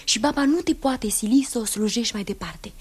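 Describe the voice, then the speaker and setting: An actress playing an anxious young maiden who speaks in a hushed, urgent, breathy way, in 1960s radio drama acting. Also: female voice, fairly high pitch.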